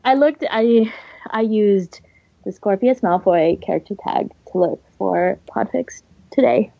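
A woman's voice: mid-range voice, measured pace, vocal fry, no echo. A woman co-hosting a podcast in casual, emphatic conversation. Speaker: podcast co-host. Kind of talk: casual chat. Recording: dull lo-fi audio, dry.

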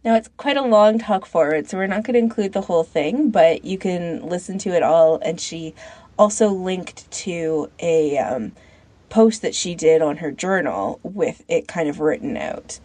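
A woman's voice: fairly low pitch, expressive, moderate pace, mellow, breathy edge, dry recording. A woman co-hosting a podcast in a casual, conversational way. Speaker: podcast co-host. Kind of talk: casual discussion.

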